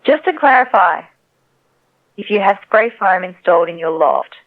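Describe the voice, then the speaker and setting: A narrow, tinny phone line carries a woman's AI telemarketer voice, lowish and crackly with vocal fry. She is polite and persistent, working through a scripted pitch. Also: lively intonation, normal speed. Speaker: AI robocall telemarketer. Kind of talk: scripted sales call.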